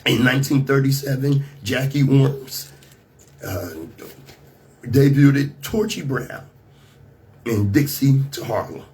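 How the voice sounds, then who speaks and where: A man with an American accent and a slightly rough voice, hosting a radio talk show with emphatic, rhetorical commentary. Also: mid-range voice, takes it slowly, long pauses, darkish tone. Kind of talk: radio monologue mixing scripted commentary and casual asides.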